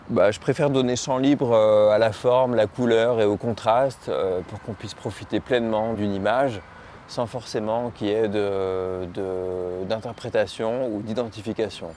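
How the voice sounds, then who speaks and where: A man from Lyon with a fairly low voice speaks as an artist being interviewed about his work. His delivery is hesitant, repeating small words as he looks for the next one. Faint urban noise sits behind his voice. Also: darkish tone. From Lyon, France. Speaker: muralist painter.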